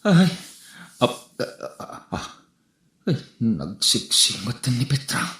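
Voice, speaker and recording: A man playing a flustered, excitable jeepney driver in an Ilocano comedy drama, stammering and exclaiming, with an Ilocano accent. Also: mid-range voice, takes it slowly. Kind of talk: comedy radio-drama acting. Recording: roomy, echoey voice recording.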